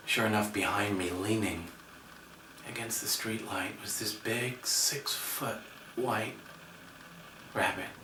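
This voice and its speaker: Man acting a gentle, dreamy rambler in echoey room. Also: lowish voice, slight background noise. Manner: hesitant, rambling, halting with repeated words. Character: gentle, dreamy, friendly bar-going man with an imaginary friend. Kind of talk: theatrical stage monologue. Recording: echoey room, distant microphone.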